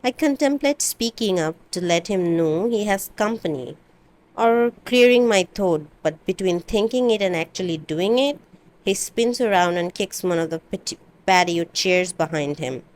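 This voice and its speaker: Lower-pitched woman reading a novel aloud. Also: expressive, normal speed, low-quality recording. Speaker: amateur book reader. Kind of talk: dramatic audiobook-style reading of a first-person novel.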